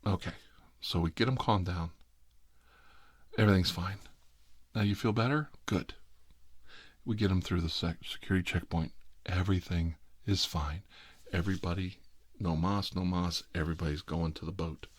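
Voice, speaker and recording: A man's voice: deep voice, fairly even intonation, moderate pace, slightly rough, no room sound. A middle-aged American man rambling casually through an anecdote with comic exasperation, acting out the dialogue as he goes. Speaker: storytelling host.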